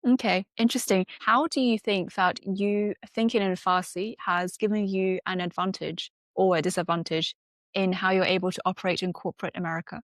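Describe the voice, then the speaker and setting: A woman's voice: medium pitch, fairly even intonation, brisk, no echo, clean studio sound. A woman hosting a podcast, asking curious, polite questions and giving brief prompts. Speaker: podcast host. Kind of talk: interviewer questions.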